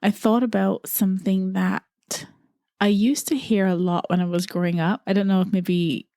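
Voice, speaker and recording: A woman's voice: mid-range voice, steady tone, normal speed, warm tone, no room sound, studio quality. A middle-aged woman from Barbados hosting a solo podcast, talking in an earnest, musing way and repeating phrases for emphasis. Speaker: podcast host. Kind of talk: reflective solo podcast talk.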